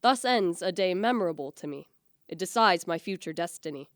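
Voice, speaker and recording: A woman's voice: fairly low pitch, animated, fairly quick, natural voice. A woman playing an obsessive, ambitious young male science student, narrating earnestly and formally in a radio play. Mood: reflective.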